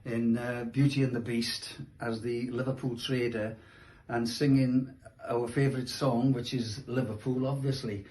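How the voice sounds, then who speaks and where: A man's voice: mid-range voice, level tone, a little slow, a little mellow. A man who performs in amateur pantomime, talking casually and a little hesitantly as he recalls his roles. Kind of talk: casual spoken recollection to camera.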